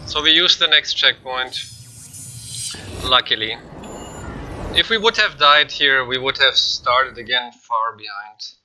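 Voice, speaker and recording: A man's voice: mid-range voice, measured pace, long pauses, pushed and bright, a little hiss or hum, poor audio. A man with a non-native English accent giving explanatory live commentary as he plays through a video game speedrun. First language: not English. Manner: explanatory, plain. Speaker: gamer, speedrunner.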